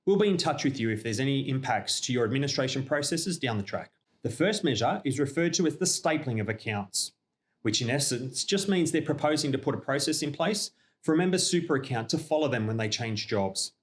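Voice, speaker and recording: An Australian man with a mid-range voice presents a scripted corporate update. He speaks in a measured, businesslike way and explains each point as a company representative would to clients. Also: medium pitch. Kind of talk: scripted informational narration.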